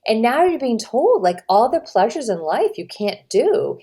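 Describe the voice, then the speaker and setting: A middle-aged American woman, a dietitian being interviewed, explaining in an animated, emphatic way with lively swings of pitch. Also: normal speed. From United States. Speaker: registered dietitian, guest. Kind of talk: conversational podcast interview.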